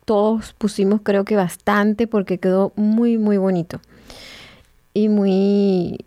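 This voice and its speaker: A woman hosting a radio interview, with a mellow, rounded tone. She speaks at a relaxed, unhurried pace and leans on repeated words for emphasis. The recording is close and dry, with no room sound. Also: medium pitch, no room sound, dull audio. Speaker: radio show host.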